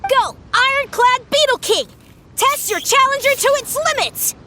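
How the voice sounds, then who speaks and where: A woman's voice: extremely high pitch, animated, moderate pace, sharp tone, a little hiss or hum, full character voice. A woman voicing an earnest, self-important little beetle-battle grandmaster in a video game, formal, lecturing and theatrical. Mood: commanding. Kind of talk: animated video game voice acting.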